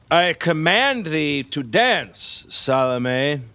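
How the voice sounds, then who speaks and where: A man's voice: on the high side, very animated, extremely slow, bright, forceful tone, full character voice. A man acting a pompous, lecherous, superstitious king in an audio play, grandiose and rambling. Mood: commanding, coaxing.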